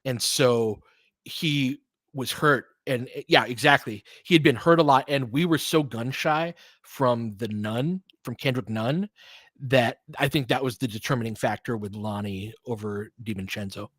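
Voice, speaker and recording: A man's voice: mid-range voice, a little slow, a bit bright, dry recording. A man doing live basketball commentary as host, conversational and analytical, thinking aloud as he goes.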